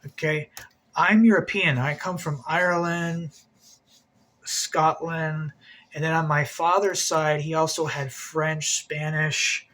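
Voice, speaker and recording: A man's voice: on the high side, fairly even intonation, slow, bright, forceful tone. A man hosting an occult video, talking in a rambling, agitated monologue, swearing a lot and repeating himself.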